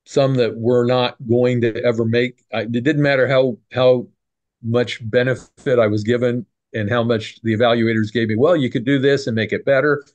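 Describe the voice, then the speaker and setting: A man's voice: medium pitch, fairly even intonation, normal speed, darkish tone, dry recording, muffled recording. A middle-aged man hosts a video call from his home, his mid-range voice picked up close and dry. He restarts his sentences often and leans on homespun sayings. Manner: repeats words, restarts, folksy sayings. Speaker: clinic host. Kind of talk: casual video call chat. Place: home room. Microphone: video call webcam.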